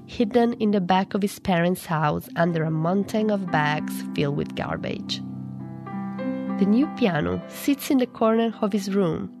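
A woman reporter with a fairly low voice narrates a scripted radio news feature. She reads as a correspondent, with music faintly running behind her. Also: creaky, a little mellow.

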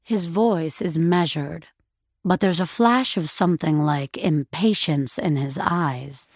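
A woman narrating a dramatic audio story in a measured, deliberate, literary way. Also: sits low, husky, no room sound. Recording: studio narration over faint music.

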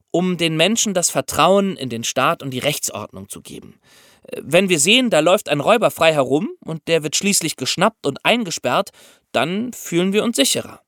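A man's voice: fairly high pitch, very animated, moderate pace, fairly bright tone, dry recording, studio quality. A man co-hosting a podcast for young listeners, explaining ideas step by step. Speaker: podcast co-host explaining law.

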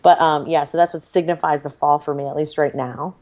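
A woman, likely in her thirties, chatting casually as a podcast co-host, her voice slightly hoarse and froggy, rambling and self-deprecating. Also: sits low, fairly even intonation, brisk, darkish tone.

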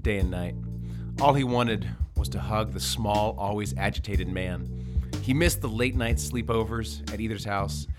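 A man hosting a podcast in a casual, joking style. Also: on the low side. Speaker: podcast host. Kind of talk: casual banter and comedic dramatic reading.

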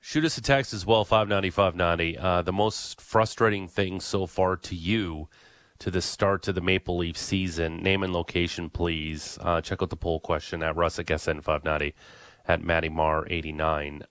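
A man on a sports radio show who speaks on the low side. His delivery is dry and close to the mic. He argues his points with wry, opinionated musing. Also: fairly even intonation, normal speed, a bit bright, dry recording. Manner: opinionated, thinks aloud, wry. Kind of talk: sports talk radio chat.

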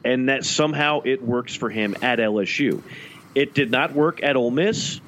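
A man's voice: medium pitch, moderate pace, a bit bright, muffled recording, a little hiss or hum. A man co-hosting a podcast, chatting casually and animatedly with frequent restarts. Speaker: podcast co-host. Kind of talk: casual sports talk.

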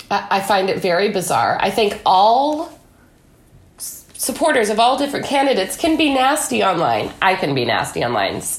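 A woman speaking as an interview guest in a conversational political discussion, with an emphatic, anecdotal way of talking. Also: mid-range voice. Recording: echoey room.

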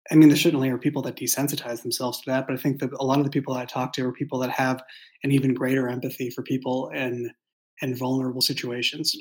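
Guy writer chatting in an interview, mid-range voice, thinks as he talks, stops and restarts a lot. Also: mid-range voice. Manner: halting and thoughtful, with repeated words and pauses. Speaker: writer and creator of an audio drama. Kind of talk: casual behind-the-scenes interview.

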